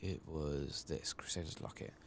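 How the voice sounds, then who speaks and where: Guy doing asmr gaming commentary, super low creaky voice, half whispering, just mumbling along as he plays. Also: moderate pace, slight background noise. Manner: relaxed, unscripted murmuring, thinking aloud. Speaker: gaming video host. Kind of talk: soft-spoken and whispered ASMR game playthrough commentary.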